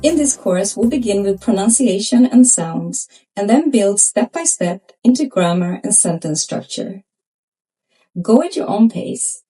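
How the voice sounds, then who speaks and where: Swedish woman teaching her language, upbeat, breathy voice, soft music behind, a bit far from mic. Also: medium pitch, animated, a little slow. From Sweden. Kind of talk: scripted lesson narration.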